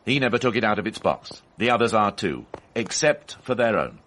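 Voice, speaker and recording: Shrewd husky police inspector. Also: male voice, on the low side, big pitch swings, a bit bright. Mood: insistent, accusing. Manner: formal, measured, pointedly questioning. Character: shrewd, methodical male police inspector, calm and probing. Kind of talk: radio drama acting.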